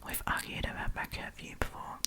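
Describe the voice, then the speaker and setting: Casting: female ASMR storytime host, full whisper throughout, playful and casual delivery with slangy asides. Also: fairly quick. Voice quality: breathy whisper.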